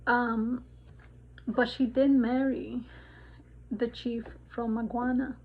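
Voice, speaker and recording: A woman's voice: a little high, flat delivery, slow pace. A woman in her forties from the Dominican Republic, chatting casually in a spiritual vlog, rambling with many fillers and laughs. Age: 40s. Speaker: vlogger. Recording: tinny phone-like sound.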